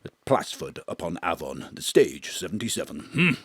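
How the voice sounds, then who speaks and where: An actor playing a pompous, drunken, paranoid old thespian, declaiming theatrically in a comic audio drama. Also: male voice, low-pitched, big pitch swings, normal speed, a bit bright, slightly rough, no room sound, exaggerated voice. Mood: grandiose, self-pitying.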